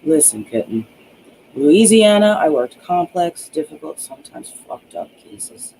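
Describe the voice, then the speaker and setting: A man's voice: fairly high pitch, sing-song, unhurried, creaky, dark tone, heavily acted. Guy reading as tired ex-cop hero in an audiobook, protective, sardonic, plain talking, bit noisy. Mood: earnest, reassuring. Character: world-weary male ex-cop guard, protective, sardonic.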